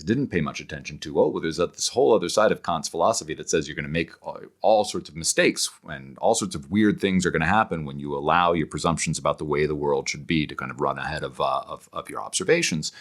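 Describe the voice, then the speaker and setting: Deep-voiced scholarly man explaining ideas. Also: a bit bright. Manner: scholarly, discursive, halting mid-phrase to search for words. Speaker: podcast guest, author on philosophy and literature. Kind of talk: explanatory interview conversation.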